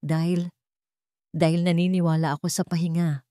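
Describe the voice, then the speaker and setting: A woman with a Filipino accent voicing an earnest, protective man, gentle but firm, in a storybook tale. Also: fairly low pitch, little pitch movement, normal speed, clear, resonant tone, dry recording, studio quality, close to an everyday voice. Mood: tender, serious. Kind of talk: storybook character voice.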